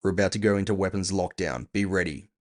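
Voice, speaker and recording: A man playing a gruff, impatient cyberpunk bounty hunter, terse and sardonic, in an audio drama. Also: low voice, fast talker, a little mellow, natural voice. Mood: commanding.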